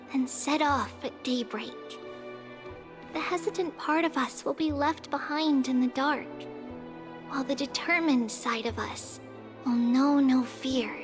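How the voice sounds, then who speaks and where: A woman voicing a gentle, childlike prophet girl in a video game, with a solemn, poetic and hopeful delivery. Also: high-pitched. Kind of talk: scripted video game dialogue.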